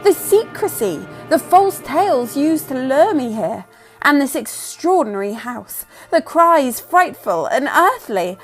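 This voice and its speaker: A woman's voice: high-pitched, very animated, a little slow, soft background music, full character voice. A woman playing a frightened, indignant young heiress in an old-time radio melodrama, theatrical and pleading. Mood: alarmed, indignant.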